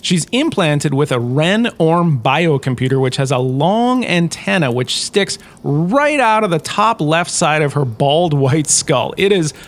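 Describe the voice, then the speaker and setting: Man playing a wry, high-pitched sci-fi lecturer. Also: sing-song, measured pace, hardly any pauses. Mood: wry storytelling. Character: pompous, touchy, chatty male sci-fi university lecturer on famous bounty hunters. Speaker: host, lecturer. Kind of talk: scripted comic in-character lecture.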